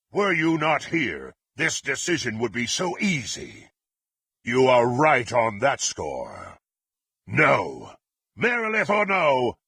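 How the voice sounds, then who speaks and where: A man playing a ruthless, power-hungry half-orc warrior in a fantasy video game, gruff and menacing, declaiming his lines imperiously. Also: mid-range voice, pushed and bright, no room sound, studio quality, heavily acted. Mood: defiant, angry. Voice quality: gruff, husky.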